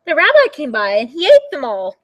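A woman's voice: high voice, wildly swooping pitch, a little fast, no echo, voice pushed up. A woman voicing a sweet, frail, very old woman who is hard of hearing, cheerfully fussing over a guest in a storybook. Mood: cheery. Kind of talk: storybook character voice.

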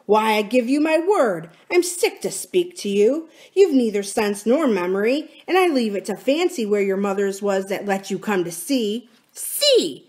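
A woman's voice: medium pitch, expressive, measured pace, hardly any pauses, darkish tone, lightly acted. A woman voicing a cunning, scornful male pirate captain and sea cook in a storybook, with sardonic, folksy sailor talk. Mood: indignant, vehement. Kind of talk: dramatic storybook character reading.

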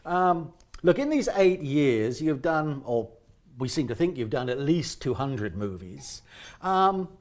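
A talkative man conducts an interview with a lively, up-and-down pitch. His voice sits a little high for a man, and he often restarts his sentences mid-thought. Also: slow. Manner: talkative, animated, frequent restarts. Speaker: interviewer and director. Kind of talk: chatty interview.

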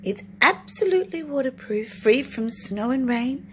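A woman voicing a sneaky, flattering male fox from a children's storybook, with a pushy salesman's patter. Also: high voice, normal speed. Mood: eager selling. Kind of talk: children's storybook read aloud. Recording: noisy home recording.

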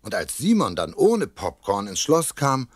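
A man's voice: medium pitch, wildly swooping pitch, a little slow, no echo, studio quality. A man narrating a children's audio drama in a scripted storytelling style. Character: storytelling narrator and castle tour guide.

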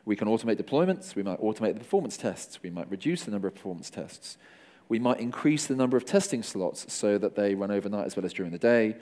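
A middle-aged man speaks from a conference stage into a microphone, his voice low and mellow. He explains things in a relaxed, conversational way and drops dry jokes for the audience. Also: fast talker. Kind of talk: conference talk.

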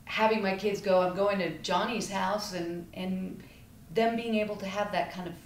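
A woman's voice: medium pitch, steady tone, normal speed. A middle-aged woman with an American accent, chatting casually as an interview co-host. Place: small plain room at a table, indoors. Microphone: desk microphone on stand. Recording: echoey room, faint background noise.

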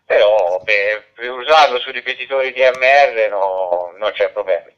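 A man's voice: fairly low pitch, measured pace, clear, resonant tone. A man, a radio amateur chatting casually over the air in a rambling way, repeating words as he goes. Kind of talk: casual radio contact chat. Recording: muffled narrowband digital two-way radio.

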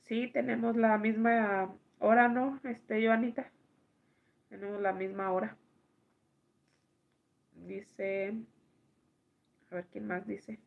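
A woman, hoarse from allergies, chatting slowly with viewers and reading their comments aloud on a live stream from home at night. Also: mid-range voice, little pitch movement, phone-quality sound. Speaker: livestream host reading chat. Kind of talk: casual live chat with viewers.

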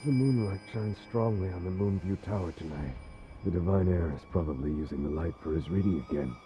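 A man's voice: low voice, fairly quick, warm tone, faint music behind, natural voice. A man playing a complacent castle guard on night watch in a video game. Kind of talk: video game dialogue acting.

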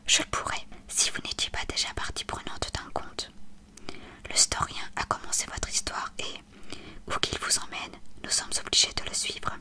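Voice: moderate pace, lightly acted. Reader whispering a weary old headmaster. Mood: cryptic. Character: weary old male headmaster, cryptic and calm. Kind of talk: ASMR whispered storytelling.

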